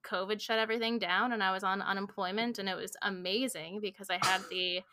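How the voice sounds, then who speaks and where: A woman's voice: mid-range voice, steady tone, fairly quick, pushed and bright, no room sound. A woman in her twenties, a podcast guest, telling her story in casual chat with lots of "like" and "um" fillers. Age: 20s. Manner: rambling, filler-heavy storytelling with frequent "like" and "um".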